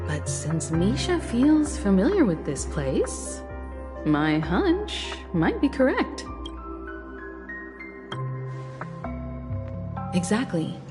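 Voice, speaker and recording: An actress playing a composed, reassuring woman who guides others in a video game, poised and explanatory. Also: on the high side. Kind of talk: scripted game voice acting. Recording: loud music behind. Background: music.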